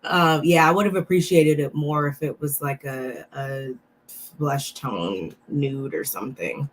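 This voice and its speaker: A woman co-hosting a reality TV recap. She is a little under the weather and talks in a relaxed, halting way with many fillers. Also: low voice. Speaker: co-host of a reality TV recap show. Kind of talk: casual chat reviewing looks.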